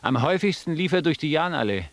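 An actor playing a wry, ironic first-person narrator looking back in a literary radio drama. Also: male voice, fairly high pitch, lively intonation, fast talker, dry recording. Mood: wry, nostalgic. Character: male first-person narrator, wry reminiscing friend of Pasch.

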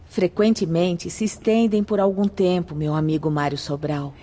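Mellow, courteous Brazilian spirit sister, played by a woman. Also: lowish voice, steady tone, close to an everyday voice. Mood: serene, courteous. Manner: measured, formal. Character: serene, courteous spirit sister explaining afterlife procedures. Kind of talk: radio drama acting.

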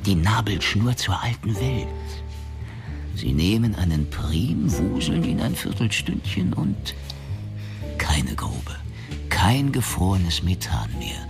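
A swaggering, middle-aged boss in a radio play. His low voice creaks and swings widely in pitch. He is wily and full of himself, a smooth talker who pads phrases with little filler sounds. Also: very animated, slow pace, big-room sound, over music, exaggerated voice. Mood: seductive, smug. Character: brash, wily male company boss, self-important and smooth-talking. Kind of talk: radio drama acting.

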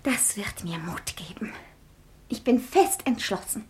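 An actress playing a brave, devoted young daughter in a 1950s radio play, wistful and theatrical, with breathy delivery. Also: female voice, mid-range voice, expressive, unhurried, in a whisper, lightly acted. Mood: uneasy but determined.

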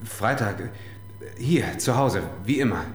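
A nervous, evasive husband. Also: male voice, medium pitch, lively intonation, takes it slowly, roomy sound, faint music behind. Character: nervous married man caught out online.

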